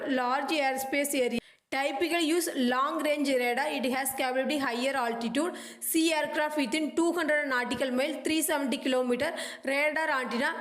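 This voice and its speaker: A woman reading educational notes aloud in a halting, clipped way, like a lecturer. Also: sits high, fairly bright tone, echoey room. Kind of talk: scripted educational narration.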